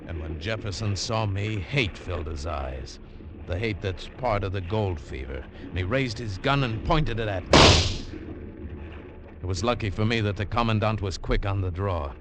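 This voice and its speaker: Low-voiced world-weary radio adventurer. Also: male voice, expressive, normal speed, background noise. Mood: grim, tense. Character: world-weary American adventurer telling his own hard-boiled tale. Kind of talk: 1940s radio drama acting and narration. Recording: old 1940s radio broadcast, music underneath.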